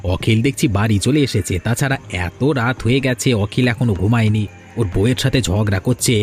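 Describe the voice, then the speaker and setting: A man voicing a grumbling village man in a cartoon fairy tale, speaking in deliberate dialogue. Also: medium pitch, faint music behind, natural voice. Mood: scheming, wary. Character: male village thief and weary elder brother, grumbling.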